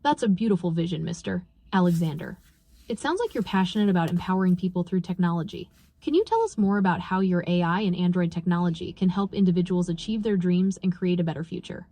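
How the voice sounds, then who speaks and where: A woman's voice: expressive, fast talker, warm tone. A woman interviewer speaking politely and formally, with affirming remarks and questions that sound scripted. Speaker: interviewer, AI reporter. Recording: noisy recording with vehicle-like rumble.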